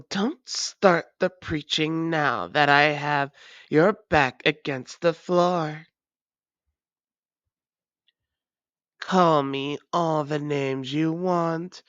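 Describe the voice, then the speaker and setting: A woman acts out a menacing vampire ruler in an audio roleplay. Her voice sits low and she speaks very slowly, with proud, taunting deliberation. The sound is dry, with no room around it. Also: no room sound, lo-fi sound. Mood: mocking, threatening. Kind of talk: dramatic audio roleplay monologue.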